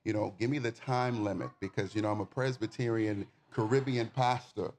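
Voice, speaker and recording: A man's voice: fairly low pitch, steady tone, a little fast, darkish tone. Man preaching an informal, folksy sermon. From Bahamas. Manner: informal, folksy, addresses the listeners directly. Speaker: guest preacher and nonprofit community manager. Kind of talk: sermon to a congregation.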